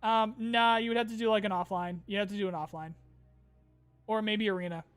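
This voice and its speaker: A man with a high voice talks casually to his viewers, reading their questions and answering off the cuff with plenty of ums. He sounds a little tired, his voice a bit strained. Soft music plays faintly underneath. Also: fairly even intonation, brisk, clean tone. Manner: reads viewer questions aloud then answers casually with fillers. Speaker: streamer answering chat questions. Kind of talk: casual chat.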